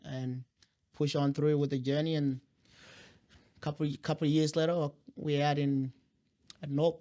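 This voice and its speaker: Man, young, from South Sudan, Dinka first language, flat monotone delivery, hesitant with fillers and restarts. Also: fairly high pitch, measured pace. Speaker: guest. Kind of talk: interview storytelling.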